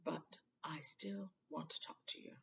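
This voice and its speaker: A woman voicing an old headmaster, somber and measured, gently probing in a storybook reading. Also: a little high, steady tone, creaky, slightly rough, in a whisper, lo-fi sound, full character voice. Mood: quiet, somber.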